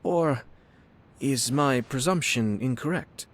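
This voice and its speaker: Low-voiced, courteous young nobleman. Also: slow pace. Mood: measured, polite. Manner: formal, eloquent, politely wry. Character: courteous, composed young nobleman, head of a powerful clan. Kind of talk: scripted video game dialogue.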